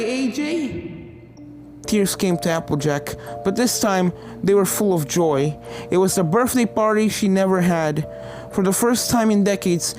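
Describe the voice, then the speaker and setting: A woman's voice: fairly low pitch, a little mellow. A woman reading a fan fiction story aloud as narrator, in a scripted, dramatic storytelling style.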